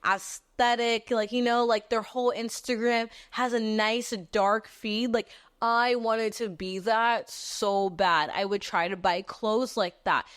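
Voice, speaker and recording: A woman in her twenties from Texas hosting a podcast, talking in an animated, casual monologue that moves between a squeaky voice and a lower one, with frequent casual swearing. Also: slow, hardly any pauses, clear, resonant tone, no room sound. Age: 20s. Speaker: podcast host.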